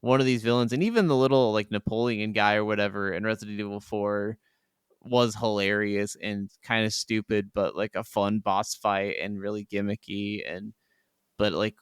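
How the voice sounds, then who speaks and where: A man with a clear, smooth voice, slightly low in the middle range, talks casually as a podcast co-host. He rambles emphatically and swears freely, and the sound is close and dry. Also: steady tone, moderate pace, no echo. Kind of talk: casual chat about video games.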